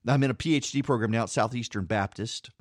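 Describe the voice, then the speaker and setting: A man's voice: mid-range voice, fast talker, dry recording. Man, radio host, personal faith talk, self-deprecating, stumbles and repeats, dead-dry studio sound. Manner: self-deprecating, repeats words while speaking.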